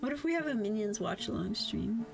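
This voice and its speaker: A woman's voice: fairly high pitch, animated, fairly quick, creaky. A young woman livestreamer chatting casually, playful and informal, swearing freely. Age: young adult. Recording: music playing behind the voice. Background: music.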